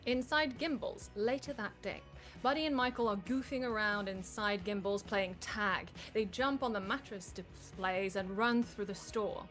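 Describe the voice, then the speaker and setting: A woman's voice: mid-range voice, moderate pace, fairly bright tone, faint music behind. A woman reading scripted stage directions as narrator at a comedic live table read.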